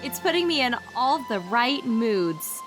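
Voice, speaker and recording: Lady playing a giggly airhead princess, high and bouncy. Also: big pitch swings, a little slow, over music. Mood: bubbly. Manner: bubbly, giggly, rambling. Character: sweet, dippy young princess. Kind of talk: comedic fan dub acting.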